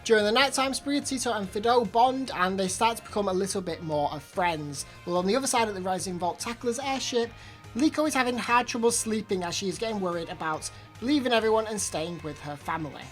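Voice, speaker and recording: A woman's voice: mid-range voice, a little fast, faint music behind. A woman hosting an anime review channel, chatting casually through an episode recap while her voice is slightly off from hay fever. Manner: chatty recap with repeated words.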